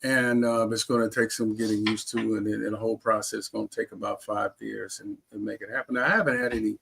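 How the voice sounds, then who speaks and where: A man's voice: lowish voice, level tone, fast talker, creaky, fairly bright tone, breathy edge. An American man telling personal stories casually as an interview guest, often repeating and restarting his phrases. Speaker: interview guest, heart transplant recipient.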